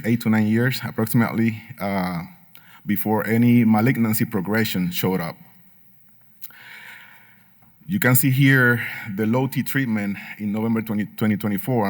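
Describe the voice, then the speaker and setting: A middle-aged man from Puerto Rico with a Puerto Rican Spanish accent, giving an earnest talk at a medical conference with frequent fillers and light humor. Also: lowish voice. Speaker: patient speaker at a medical symposium.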